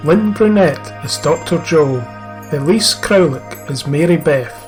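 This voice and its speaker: Slow-paced man reading credits over music. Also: fairly high pitch, lively intonation. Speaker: credits announcer. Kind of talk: scripted credits narration.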